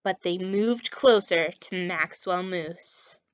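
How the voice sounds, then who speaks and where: A woman reading a story aloud to young children as a teacher, animated and encouraging, talking directly to her listeners. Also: fairly low pitch, slow pace, muffled recording. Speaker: children's story time reader and literacy teacher.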